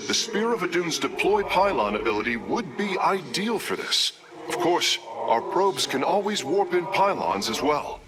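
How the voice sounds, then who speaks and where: A man playing an earnest, deferential alien engineer in a video game, speaking in a formal, measured, technical way. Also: fairly high pitch, big pitch swings, background noise. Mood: focused, informative. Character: earnest, deferential male alien engineer serving his commander. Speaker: engineer and battle advisor.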